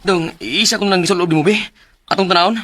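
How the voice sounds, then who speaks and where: A poor, protective older brother in a Filipino radio drama with a Cebuano accent. His voice sits a little high for a man, earnest and plaintive with worry. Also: fast talker, fairly bright tone, a little hiss or hum. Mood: alarmed, concerned. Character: caring, worried older brother, poor orphan-like youth.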